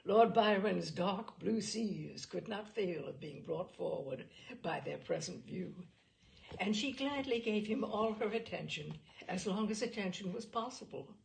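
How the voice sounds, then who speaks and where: Female audiobook narrator, mid-pitched with plenty of gravelly fry; expressive, deliberate delivery of period literary fiction. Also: slight background noise, low-quality recording.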